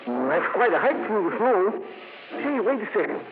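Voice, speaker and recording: An actor playing a folksy, helpful small-town station agent in a 1950s radio drama, informal and plain-spoken. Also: male voice, sits high, big pitch swings, normal speed. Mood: friendly, helpful. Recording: narrow-band 1950s radio broadcast.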